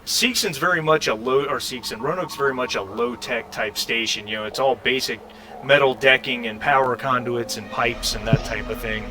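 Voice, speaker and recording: A man narrating a tabletop role-playing game as game master, halting and conversational as he searches for words. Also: medium pitch, noisy background.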